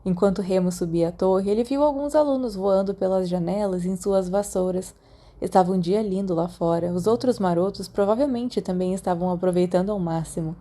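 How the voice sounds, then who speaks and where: Female Brazilian narrator for fiction audiobook, soft dark tone, mid-range pitch. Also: brisk. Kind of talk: scripted fan fiction narration with acted dialogue.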